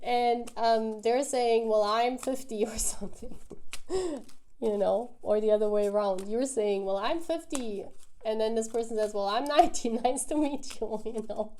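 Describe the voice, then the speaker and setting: A woman giving a casual, intuitive tarot reading to viewers, thinking aloud in a musing, halting way. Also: fairly high pitch, mellow. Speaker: tarot reader, host.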